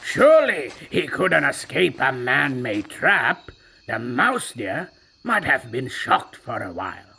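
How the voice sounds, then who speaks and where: A man's voice: medium pitch, very animated, slow, gravelly, breathy edge. A man narrating a storybook as a proud, magical ancient male tiger, in a theatrical, self-satisfied way. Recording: narration over loud music.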